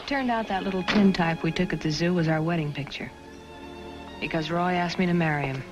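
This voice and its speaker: A lonely, wistful young woman from a 1950s crime film, acted by an American actress. Her voice sits on the low side, hushed and breathy, devoted yet guarded. Music plays clearly beneath the old soundtrack. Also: brisk, muffled recording, natural voice. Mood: wistful, confiding. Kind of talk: 1950s film noir acting.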